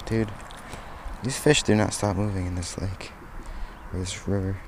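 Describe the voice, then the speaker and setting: A man's voice: sits low, little pitch movement, moderate pace, slight background noise. A young man chatting casually outdoors on a boat, recorded on an action camera. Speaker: vlogger and angler. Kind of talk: casual chat while fishing. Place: open boat on a river, outdoors. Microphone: chest-mounted action camera.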